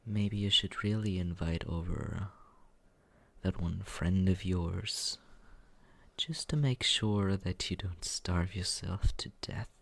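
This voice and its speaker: A man playing a smug, condescending but secretly caring young roommate in a teasing ASMR roleplay, with breathy, husky whispering and murmuring. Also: sits low, a bit bright, heavily acted. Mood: scolding, teasing. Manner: teasing, condescending, slow drawl.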